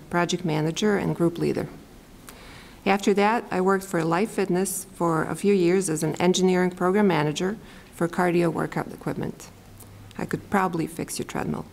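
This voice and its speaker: A woman's voice: fairly low pitch, darkish tone. A woman in her forties from Serbia with a Serbian accent, giving a confident opening statement as a school board candidate, with touches of dry humor.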